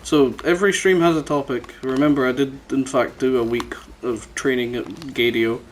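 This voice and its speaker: A man's voice: mid-range voice, steady tone, normal speed, a little hiss or hum. A man hosting a casual podcast chat, talking in a sweary, teasing, bantering way. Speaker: podcast host.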